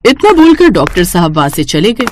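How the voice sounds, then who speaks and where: A woman's voice: on the low side, big pitch swings, brisk, noisy background. A woman narrating a scripted moral story for children.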